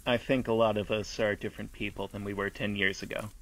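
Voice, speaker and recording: A man acting in a radio play as a gentle, tactful fellow, speaking in a hesitant, understated, naturalistic way. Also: fairly low pitch, flat delivery, fast talker, natural voice, low-quality recording. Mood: apologetic. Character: gentle, tactful man patiently humoring a talking building.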